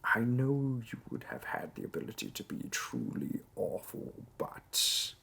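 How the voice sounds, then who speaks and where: A man voicing a demon interviewer in a roleplay audio drama, dry and condescending with mock sympathy, in a low gravelly voice. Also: very animated, unhurried, pushed and bright, full character voice. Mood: condescending pity. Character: bureaucratic male demon interviewer, disappointed, politely wicked.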